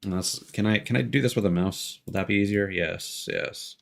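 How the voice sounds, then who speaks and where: Male game streamer, low register, loose rambling commentary with dry, sweary humor. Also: normal speed, vocal fry. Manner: rambling, sweary, dryly joking. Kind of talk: casual live gameplay commentary.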